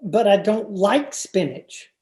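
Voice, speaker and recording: Lady doing a sheepish radio sitcom husband, mellow and apologetic, roomy echo. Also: medium pitch, lively intonation, slow pace. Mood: meek. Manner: hesitant, apologetic. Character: sheepish, placating suburban husband, a mother's boy. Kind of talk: old-time radio sitcom acting. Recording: roomy, echoing home video-call recording.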